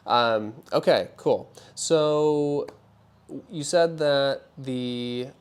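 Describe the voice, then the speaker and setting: A man's voice: medium pitch, drawn-out words, clear, resonant tone. Reassuring male physical therapist. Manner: reassuring, recapping, checking back. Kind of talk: casual clinical intake interview.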